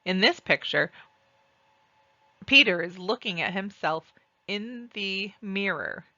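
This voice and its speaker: A woman reading a children's storybook aloud and describing its pictures, with deliberate, expressive phrasing. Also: lowish voice, no echo, muffled recording. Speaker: read-aloud narrator.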